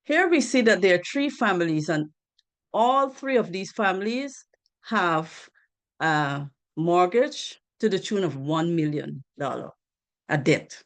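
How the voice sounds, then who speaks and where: A woman's voice: fairly low pitch, sing-song, a little slow. A woman from Guyana giving a financial literacy presentation on a group video call, earnest, asking rhetorical questions and repeating key points.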